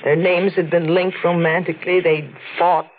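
A woman acting an intense, troubled, secretive young woman in a 1940s radio melodrama, with deliberate, dramatic phrasing. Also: on the low side, flat delivery, close to an everyday voice. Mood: somber recounting. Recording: faintly noisy, narrow-band old radio recording.

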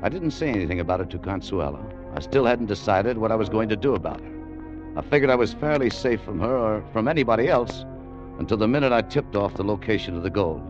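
Casting: male actor as tough American fortune hunter, low voice with wide swings, clipped and wary, vintage radio adventure. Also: animated, fast talker, lightly acted. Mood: tense, guarded. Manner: clipped, tough, colloquial first-person narration. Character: hard-boiled, wary adventurer hunting gold. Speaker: radio actor, lead and narrator. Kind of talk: 1940s-50s adventure radio drama acting. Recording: muffled vintage radio recording, music underneath.

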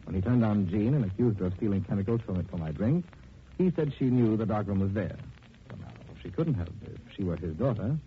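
Casting: man to play unflappable gentleman sleuth, pedantic and wry, lively pitch, vintage radio with phone-like band. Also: fairly quick, natural voice. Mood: wry explaining. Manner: polished, dry, pedantic. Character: suave, unflappable gentleman detective, dryly witty. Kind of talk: 1940s radio detective drama acting. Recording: tinny, noisy 1940s radio recording.

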